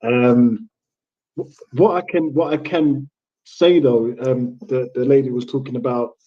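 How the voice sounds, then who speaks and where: A man's voice: mid-range voice, unhurried. A man born in England who grew up in Jamaica, a panel guest chatting casually and rambling a little, on a muffled online call. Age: adult father with children. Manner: relaxed, rambling with many restarts. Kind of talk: casual livestream chat.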